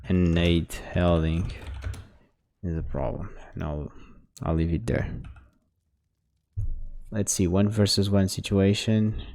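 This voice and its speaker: Low, mellow-voiced man coaching. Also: clean tone. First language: Portuguese. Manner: instructive, talks the viewer through mistakes. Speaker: game coach. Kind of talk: casual demo review commentary.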